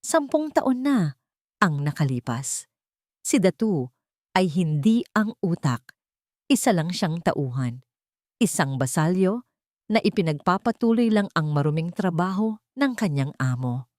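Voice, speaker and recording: Woman storyteller, lowish pitch, dry close sound, measured dramatic phrasing. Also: very animated, a little mellow, no room sound. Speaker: storyteller, narrator. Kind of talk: scripted dramatic story narration.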